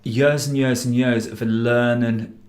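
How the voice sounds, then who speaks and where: A young man with a Geordie accent, a singer guest in a radio studio, talking fast and giddily with frequent laughs. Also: mid-range voice, fairly even intonation, darkish tone. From North East England. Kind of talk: excited chatty interview.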